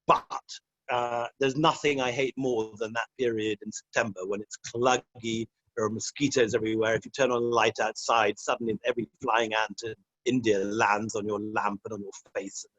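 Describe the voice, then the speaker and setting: A man's voice: lowish voice, level tone, moderate pace, a bit bright, no room sound, lo-fi sound. A middle-aged man from Scotland, a guest answering interview questions in a wry, rambling way, with self-deprecating humor and frequent laughs.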